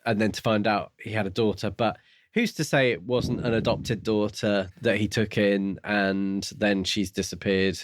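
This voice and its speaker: Low-pitched man, casual podcast co-host. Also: steady tone, normal speed, dry recording, clean studio sound. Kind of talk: casual book discussion.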